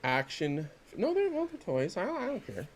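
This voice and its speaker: A man's voice: sits high, huge pitch swings, moderate pace, crowd noise. A middle-aged man chatting casually and jokingly as a podcast co-host, in a rambling way. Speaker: podcast co-host and wrestling figure collector. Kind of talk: casual chat in an unboxing video.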